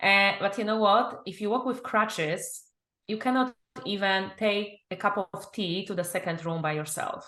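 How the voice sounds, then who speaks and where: A woman from Poland with a Polish accent, telling her personal story as an interview guest in an animated way, acting out her own thoughts. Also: mid-range voice, moderate pace. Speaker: guest, confidence and business mentor. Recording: roomy, echoing sound.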